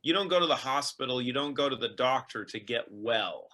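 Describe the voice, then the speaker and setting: A man's voice: mid-range voice, animated, fairly quick, bright, forceful tone. A male host putting questions to a guest and explaining at length in an earnest, filler-heavy way. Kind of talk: interview questions and commentary.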